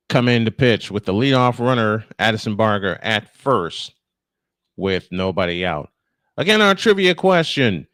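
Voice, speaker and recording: A man's voice: mid-range voice, a bit bright, dry recording, clean studio sound. A man doing live baseball play-by-play, mixing commentary with casual banter as host.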